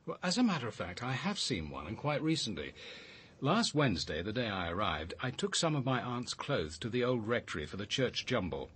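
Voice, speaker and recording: An actor playing an analytical detective with an English accent, speaking precisely and with understatement in a radio drama. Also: male voice, medium pitch, very animated, fairly quick, a bit bright, husky. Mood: thoughtful recall. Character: measured, analytical male detective visiting his aunt's village. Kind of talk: naturalistic British radio drama acting.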